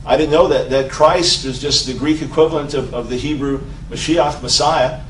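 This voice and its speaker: Man from Long Island talking to a crowd, a bit high, heard far off in a roomy space. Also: steady tone, moderate pace. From Long Island, New York. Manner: conversational, repeats words, checks in with the audience. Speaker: speaker. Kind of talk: talk to an audience. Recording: roomy, distant mic with faint background noise.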